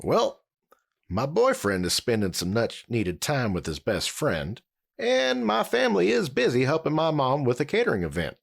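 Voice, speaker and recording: Man playing gravelly, animated big protective guy. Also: medium pitch, normal speed, exaggerated voice. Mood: friendly. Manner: folksy, blunt. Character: big, protective, tough but friendly man. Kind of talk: audio drama acting.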